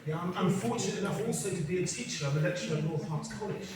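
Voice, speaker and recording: High-voiced man speaking in an echoey hall. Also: rapid-fire, crowd noise. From England. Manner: unscripted, hesitant with many fillers and restarts. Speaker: council vice chair and lecturer giving a speech. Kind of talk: informal speech at a community event. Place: large echoey event hall. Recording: echoey hall, from far off, noisy.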